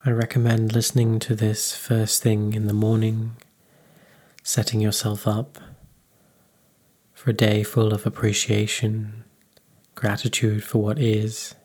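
A man leading a guided meditation in a calm, unhurried, soothing manner. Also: fairly low pitch.

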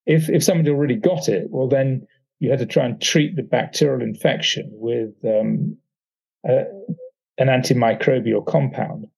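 A man's voice: mid-range voice, moderate pace, creaky, darkish tone, slightly rough, low-quality recording. A male professor explaining as an interviewed expert, hesitant and repeating words as they go.

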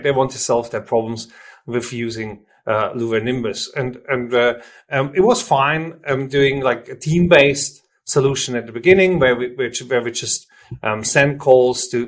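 A man from Switzerland, a native German speaker with a Swiss German accent, explaining things informally and haltingly as an interview guest, often rephrasing himself. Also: medium pitch. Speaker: software company representative, interview guest. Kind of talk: conversational product interview.

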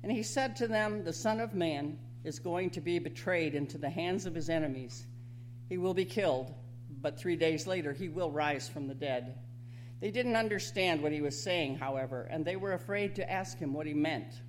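A woman's voice: sits low, normal speed. Female scripture reader, reading a Bible passage aloud on a slightly noisy recording.

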